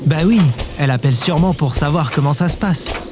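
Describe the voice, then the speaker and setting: A man's voice: fairly high pitch, fast talker, over music, natural voice. Man playing a casual, cocky young quest leader. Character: confident, good-natured young male leader of a quest. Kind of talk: French audio adventure drama.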